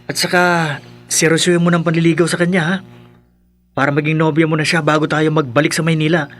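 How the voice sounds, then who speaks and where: Man playing a higher-voiced earnest young friend. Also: flat delivery, a little fast, a bit bright, soft background music, lightly acted. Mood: concerned. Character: supportive young male friend of the hero. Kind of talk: horror radio drama acting.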